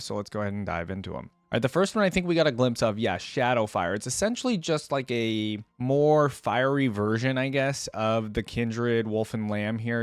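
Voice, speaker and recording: A man's voice: on the low side, clean tone, no room sound. Casual guy reacting, dry close sound. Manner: informal, thinking out loud. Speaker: reaction video host.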